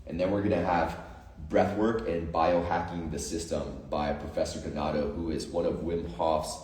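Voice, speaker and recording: A man's voice: extremely low pitch, flat delivery, normal speed, darkish tone, roomy sound, distant mic. A man, a coach and retreat organizer, talking through the plan casually and with enthusiasm, with lots of fillers and motivational lines. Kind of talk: casual promotional chat.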